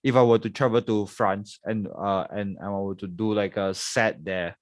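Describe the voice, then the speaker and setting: A man's voice: lowish voice, steady tone, moderate pace, no echo, clean studio sound. A man hosting a podcast in casual chat, laughing easily and using many fillers. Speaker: podcast host.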